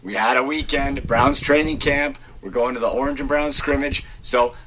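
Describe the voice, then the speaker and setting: A man with a raspy voice hosting a sports podcast, talking casually and with enthusiasm, with many fillers. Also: mid-range voice, steady tone, fairly bright tone, a little hiss or hum, rough recording. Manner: enthusiastic, talks fast with fillers. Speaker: podcast host.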